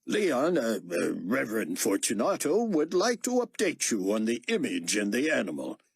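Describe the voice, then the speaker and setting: A man's voice: mid-range voice, wildly swooping pitch, moderate pace, creaky, slightly rough, no echo, voice pushed up, heavily acted. A man playing a nervous, obsequious aide to a tyrant in an audiobook, hesitant and apologetic in manner. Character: flustered, deferential male aide to a tyrant.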